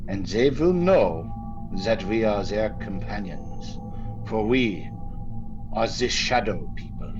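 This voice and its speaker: A man playing a grave, scholarly occult doctor in old-time radio style, speaking haltingly, deliberately and ominously on a dull old recording. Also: on the low side. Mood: ominous warning.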